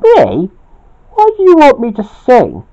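A man's voice: very high-pitched, huge pitch swings, measured pace, creaky, dark tone, highs cut off, pitched above the performer's own voice, full character voice. A man voicing a hot-tempered angry man who squabbles, in an amateur storybook telling. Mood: puzzled. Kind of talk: amateur storytelling with acted dialogue.

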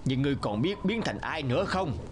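Imperious old snake demon. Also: male voice, on the high side, big pitch swings, fairly quick, noisy background. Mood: probing. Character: menacing, gullible snake demon disguised as an old man. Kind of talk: cartoon voice acting.

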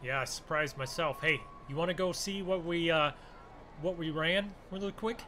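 Excitable man gaming over loud engine noise. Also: a little high, normal speed. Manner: excitable, exclamatory, slangy. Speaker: gaming video creator role-playing a driver. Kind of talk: improvised gaming roleplay chat.

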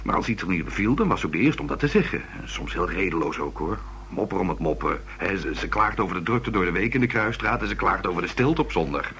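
A hesitant young man in a radio drama, chatty yet evasive. His low voice swings up and down as he rambles and restarts, over a noisy, humming old recording. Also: lively intonation, fast, hardly any pauses, fairly bright tone. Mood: gossipy, reluctant. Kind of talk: Dutch radio play acting.

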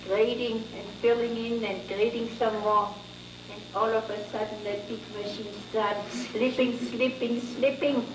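A woman on a panel, a former lodge owner, telling a story in a measured way. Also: a little high. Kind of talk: casual storytelling. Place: indoor panel room. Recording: echoey room from far off with background noise.

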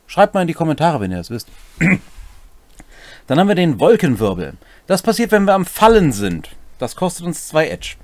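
A man's voice: a little high, big pitch swings, moderate pace, no echo. A middle-aged man co-hosting a casual explanatory chat about tabletop game rules. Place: small cluttered home room.

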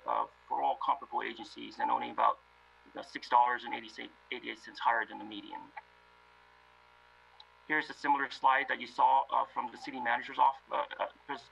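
Gravelly man presenting a budget report. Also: medium pitch, pushed and bright, dull audio. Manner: measured, many fillers. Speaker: city finance director. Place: remote video meeting.

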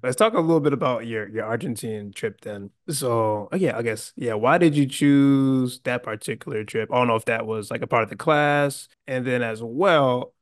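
A man's voice: mid-range voice, normal speed, hardly any pauses, dry recording. A young man asks questions over a video call, his voice in a middle register and sounding close and dry. He is easygoing and affirming, restarting his questions in loose, casual phrasing. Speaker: interviewer, host. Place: small room at home. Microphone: video call with microphone.

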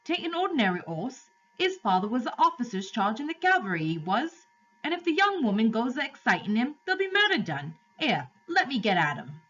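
A woman voicing a rough but kindly male London cabby with a Cockney accent and an earnest, plain-spoken manner. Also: fairly high pitch, big pitch swings, fairly quick, a bit bright, distant mic, lo-fi sound, pitched above the performer's own voice, full character voice. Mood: urgent.

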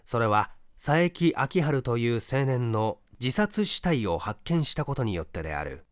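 A male narrator reads literary mystery fiction with dramatic, measured phrasing. His medium-pitched voice moves widely up and down. The recording is dry, with hardly any room sound. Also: very animated, dry recording. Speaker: audiobook narrator, stage actor. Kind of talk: scripted narration of a mystery short story.

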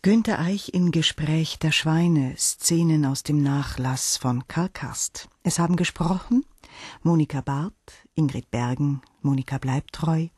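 Husky woman radio announcer, dry sound. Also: sits low, measured pace, a little mellow, no room sound. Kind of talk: scripted credits announcement.

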